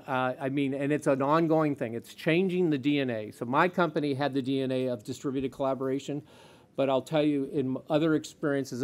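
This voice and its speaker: A man speaking on a conference panel, emphatic and animated, restarting phrases as he goes. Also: on the high side. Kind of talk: panel talk. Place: conference hall.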